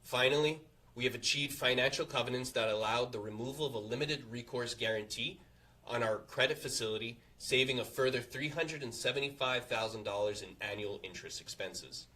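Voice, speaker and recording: A man reading a scripted earnings presentation to investors as a company executive, in a formal, confident, measured way. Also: mid-range voice, sharp tone.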